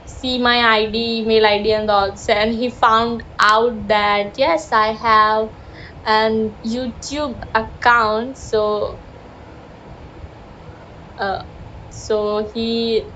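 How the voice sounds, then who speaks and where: A woman's voice: a little high, level tone, drawn-out words, fairly bright tone. A young woman from South Gujarat with a Gujarati-influenced Indian English accent, chatting in a casual, rambling vlog with many fillers and apologies. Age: young adult. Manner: rambling, self-deprecating, apologetic, frequent fillers. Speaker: vlogger. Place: outdoors near busy traffic with honking. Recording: dull lo-fi phone audio with faint street noise.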